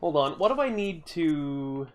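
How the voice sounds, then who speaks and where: A man streaming a video game and chatting to his viewers, his voice a little high and stuffy from a lingering cold. He talks slowly and rambles, grumbling and swearing casually as he plays. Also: very animated. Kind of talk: casual live-stream chat while playing.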